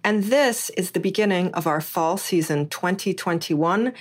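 A woman's voice: lowish voice, a little slow. A woman from Hawaii hosting a podcast interview in an upbeat, conversational way. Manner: upbeat, conversational, some restarts. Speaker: podcast host. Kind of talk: casual interview chat.

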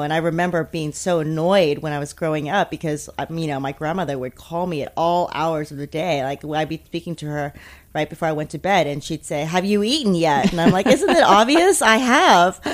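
A woman born in Taiwan and raised in America, talking casually as an interview guest, thoughtful with many pauses and restarts. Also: low voice. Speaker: interview guest, food media founder.

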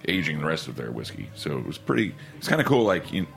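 A man's voice: rumbling low, very animated, fast, vocal fry, a bit bright. A man co-hosting a podcast in a laid-back casual way, often saying "man" and hesitating with uhs. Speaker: podcast co-host. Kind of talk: casual interview chat.

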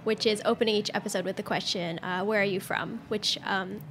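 A woman's voice: medium pitch, fairly even intonation, a little fast, a bit bright, slight background noise. A woman hosting a radio interview, upbeat and encouraging in easy conversation. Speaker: radio host.

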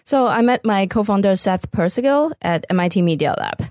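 A woman's voice: medium pitch, animated, brisk, a little mellow, no room sound, clean studio sound. A woman from Taiwan with a Taiwanese accent, a native Mandarin speaker, casually explaining her work as an interview guest. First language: Mandarin Chinese. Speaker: startup co-founder, podcast guest.

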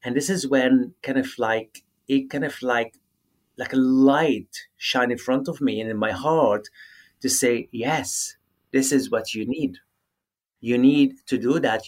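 A middle-aged man from Lebanon with a Lebanese accent and Arabic as his first language, answering interview questions earnestly and haltingly as he searches for words. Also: mid-range voice. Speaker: yoga teacher, guest.

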